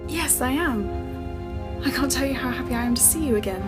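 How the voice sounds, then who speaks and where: A woman's voice: sits high, moderate pace, loud music behind the voice, natural voice. A woman playing a poised alien scientist in an audio drama, composed and articulate. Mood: glad. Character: Romana, poised alien female scientist, old friend.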